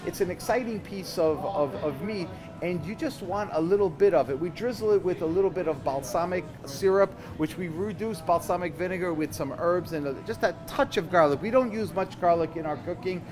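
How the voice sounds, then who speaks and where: A man in his fifties, a chef being interviewed, explaining things conversationally and haltingly, often repeating words. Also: high voice, music in the background. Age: 50s. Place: store counter indoors.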